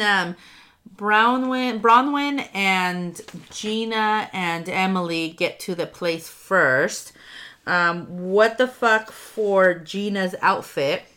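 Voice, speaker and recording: A woman's voice: medium pitch, a bit bright. Chatty congested woman recapping TV. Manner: chatty, swears freely, frequent ums and likes. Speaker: podcast co-host recapping a reality show. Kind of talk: casual chat.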